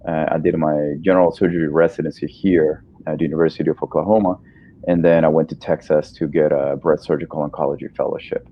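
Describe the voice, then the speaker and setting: A man's voice: rumbling low, level tone, fast, highs cut off, slight background noise. A man in his thirties from El Salvador, a native Spanish speaker, answering interview questions in a casual, friendly, informal way. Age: 30s. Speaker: guest, breast cancer surgeon.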